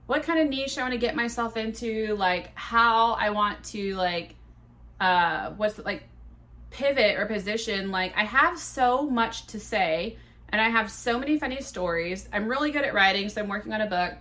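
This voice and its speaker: A woman's voice: medium pitch, normal speed, fairly bright tone. A woman in her thirties hosting her own podcast, telling stories in a chatty, profane, self-mocking way with a big voice. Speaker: podcast host. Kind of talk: casual solo podcast storytelling.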